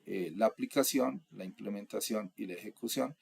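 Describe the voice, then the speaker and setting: A young adult man from Colombia, explaining course material in a steady, methodical lecture. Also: lowish voice, normal speed, vocal fry, husky. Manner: steady, methodical, lists items one by one. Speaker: instructor.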